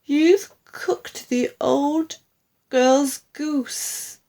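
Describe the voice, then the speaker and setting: A woman's voice: fairly high pitch, animated, a little slow, a little mellow, pitched above the performer's own voice. A woman voicing an anxious, flustered mother in a storybook reading, crying out and pleading in a wail. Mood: alarmed, scolding. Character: anxious, wailing mother, frantic and easily flustered.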